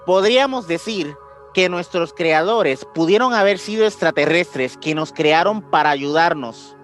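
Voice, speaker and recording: A man's voice: on the high side, animated, a little slow, fairly bright tone, soft background music. A man with a Mexican accent hosting a podcast, telling a story casually in slangy speech and often searching for words. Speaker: podcast host.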